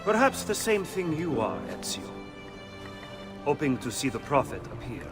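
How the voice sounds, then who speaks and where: An actor playing a cerebral, formal Renaissance assassin in a video game, speaking deliberately with an Italian accent. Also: male voice, on the high side, big pitch swings, music in the background. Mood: cryptic, probing. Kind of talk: video game cutscene acting.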